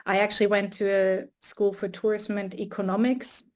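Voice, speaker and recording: A woman's voice: medium pitch, flat delivery, normal speed, a little mellow. A woman, an astrophysicist and artist, talking through her path in a thoughtful, casual way with frequent restarts, recorded over a video call. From Austria. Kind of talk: casual conversation.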